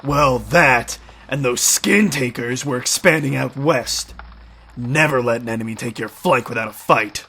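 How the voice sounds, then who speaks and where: A man's voice: mid-range voice, measured pace, pushed and bright, slightly rough, heavily acted. An actor playing a shrewd, folksy, grizzled leader of vampire-hunting outlaws in a fantasy audio drama, needling as he talks. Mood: sly, bargaining. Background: music.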